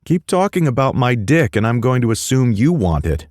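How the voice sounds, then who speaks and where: A man's voice: on the low side, sing-song, a little slow, a little mellow, no room sound, studio quality, voice pushed up. A man voicing a joking, guarded young college man. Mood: bantering. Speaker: college student.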